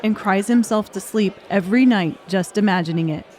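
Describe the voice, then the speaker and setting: Woman narrating an audiobook, mid-pitched. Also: a little fast, a little mellow, some chatter behind.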